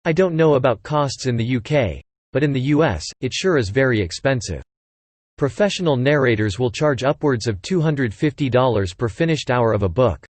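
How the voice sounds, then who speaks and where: Male American promo narrator, synthetic AI voice, mid pitch, very dry recording. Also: lively intonation, moderate pace, darkish tone, no room sound. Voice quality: synthetic text-to-speech voice. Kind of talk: scripted promotional dialogue.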